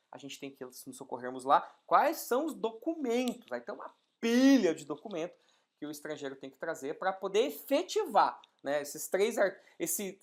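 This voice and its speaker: A woman gives an exam-prep law lesson in a somewhat low voice. She walks through each rule step by step, firing rhetorical questions and answering them herself. Her delivery is lively, with sudden bursts of emphasis. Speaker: law instructor. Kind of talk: exam-prep legal lecture.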